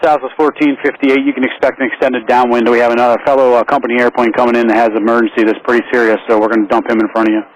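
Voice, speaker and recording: A man working as an air traffic controller, giving calm, accommodating, businesslike instructions to pilots over aviation radio. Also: medium pitch, racing, hardly any pauses, dry recording, dull audio.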